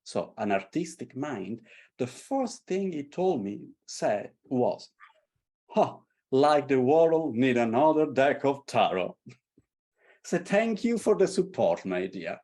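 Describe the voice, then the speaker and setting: A man's voice: mid-range voice, slow pace. Passionate Italian-accented man. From Italy. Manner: passionate, animated storytelling. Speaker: artist and tarot creator. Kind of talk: casual conversation. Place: London.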